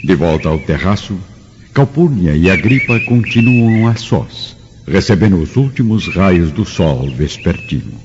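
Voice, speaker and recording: A man's voice: low-pitched, lively intonation, unhurried, highs cut off, background noise. A man with a Brazilian accent giving literary, declamatory scripted narration for a radio drama.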